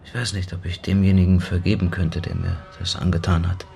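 An actor playing a soft-hearted, vulnerable lover in a naturalistic radio drama, with a gentle, hesitant way of speaking. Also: male voice, low-pitched, flat delivery, a little fast, vocal fry, background noise. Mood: pensive, hurt.

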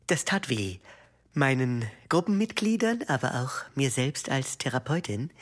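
A female group therapist speaks in a hushed, pained voice with lively pitch movement. She is halting and earnest, as in a mock interview in a radio comedy. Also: husky, heavily acted.

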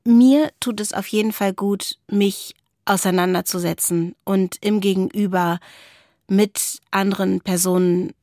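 A woman speaks as a podcast co-host, in a dry recording with no room sound. She is reflective and conversational, often feeling her way toward the right word. Her pitch sits in the middle for a woman. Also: fairly even intonation, a little slow, a little mellow, no echo. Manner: thoughtful, searching for words.

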